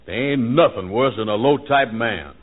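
A man's voice: mid-range voice, normal speed, natural voice. An actor playing a suspicious, indignant comic everyman in a 1940s radio comedy, with hesitant, folksy, ungrammatical speech. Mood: polite, eager. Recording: scratchy, tinny 1940s radio recording.

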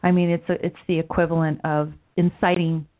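A woman's voice: fairly low pitch, little pitch movement, fairly quick. A woman hosting a political talk podcast, with a light voice she calls mousy, earnest and often repeating words as she thinks aloud. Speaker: podcast host. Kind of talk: political talk show discussion. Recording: phone-like lo-fi audio.

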